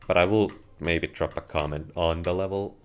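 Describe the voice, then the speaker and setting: A man with a Finnish accent chats to viewers in measured, slightly wordy phrasing while livestreaming a video game. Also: deep voice, fairly even intonation, clear, resonant tone. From Finland. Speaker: live streamer playing a video game. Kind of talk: casual livestream gaming commentary, chatting with viewers. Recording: noisy home stream with keyboard clicks. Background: keyboard and mouse clicking.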